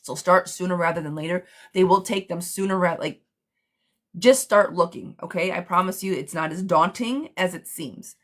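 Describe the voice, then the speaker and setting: A woman with a hoarse, smoky voice, doing a chatty live tarot reading while sick with a cough. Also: fairly low pitch, fairly bright tone. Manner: chatty, reassuring, calls listeners girlfriend. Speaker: tarot reader.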